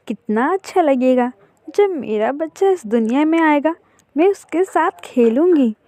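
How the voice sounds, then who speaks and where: A woman voicing a small, gentle mother songbird in a cartoon story, eager and tender. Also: a little high, no echo.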